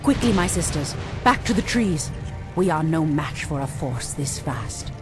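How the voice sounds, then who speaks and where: An actress playing a proud, commanding night elf priestess in a video game, speaking in a solemn, imperious, formal way. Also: female voice, sits low, big pitch swings, measured pace, music in the background. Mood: urgent command. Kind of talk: dramatic video game cutscene acting.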